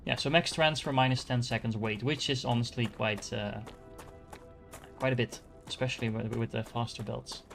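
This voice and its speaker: A man's voice: mid-range voice, fairly even intonation, moderate pace, vocal fry, a bit bright, faint music behind. Dutch-accented man rambling through a game. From Netherlands. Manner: thinking aloud, rambling with fillers and restarts. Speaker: gaming streamer. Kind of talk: casual gameplay commentary.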